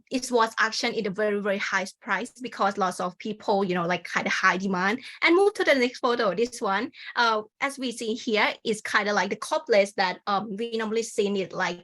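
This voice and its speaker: A woman's voice: mid-range voice, pushed and bright. A young woman from Thailand with a Thai accent, a native Thai speaker giving an academic conference presentation in an informal way, full of fillers like "like" and "kind of", over a video call.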